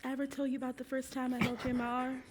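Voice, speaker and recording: Woman acting a resolute enslaved mother, stage drama, fairly high voice, reverberant theatre, folksy, tender. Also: monotone, rapid-fire, noisy background, natural voice. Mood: tender, wistful. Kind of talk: stage play monologue.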